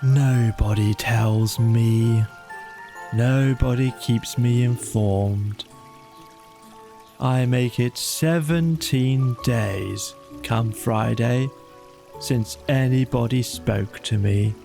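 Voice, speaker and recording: A man's voice: mid-range voice, drawn-out words, creaky, dark tone, faint music behind. A man voicing a gloomy, aggrieved donkey with a ponderous, mournful, sarcastic grumble in a storybook audio play.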